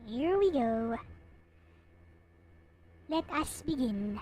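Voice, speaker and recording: A woman's voice: high voice, animated, very slow, darkish tone, slight background noise. A woman chatting casually to her viewers as she livestreams a game, talking to them directly. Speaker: live streamer. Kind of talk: casual livestream chat while playing and reading aloud a visual novel. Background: game music.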